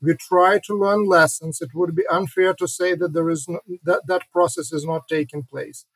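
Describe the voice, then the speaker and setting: A middle-aged man from Ukraine, speaking in a fairly high voice. He answers reflectively and haltingly, often repeating words and filling pauses with "uh". The sound is dry and close. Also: fairly even intonation, husky, no room sound, rough recording. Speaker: historian and author, guest.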